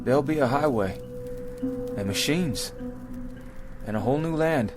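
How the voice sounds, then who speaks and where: A man's voice: mid-range voice, expressive, moderate pace, close to an everyday voice. An actor playing an earnest, fervent pioneer man with a vision, in a radio drama. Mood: awed, excited. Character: visionary, fervent male pioneer leading a westbound wagon train. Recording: music behind the voice.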